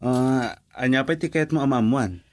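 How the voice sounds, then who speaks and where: A man's voice: mid-range voice, moderate pace, lightly acted. An actor playing a curious, teasing male friend who keeps asking questions. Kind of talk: radio drama acting.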